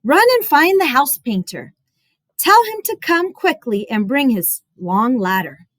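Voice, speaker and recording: A woman's voice: high voice, big pitch swings, unhurried, a bit bright, dry recording, studio quality, pitched above the performer's own voice, heavily acted. A woman voicing a worried, commanding storybook mother, urgently giving instructions to her boys. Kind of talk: storybook read-aloud character voice.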